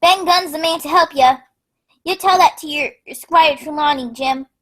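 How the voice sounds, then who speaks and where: A woman playing an excitable, half-mad marooned with a rambling, folksy way of talking. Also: high-pitched, normal speed. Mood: excitable, wary. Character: Ben Gunn, excitable half-mad marooned old pirate castaway.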